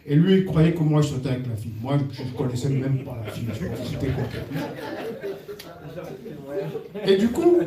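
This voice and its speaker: A man giving an earnest, animated testimony in front of his church congregation. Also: mid-range voice, fairly quick, long pauses, a loud crowd. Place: church hall. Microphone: distant room mic. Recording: echoey hall from far off, faint hiss.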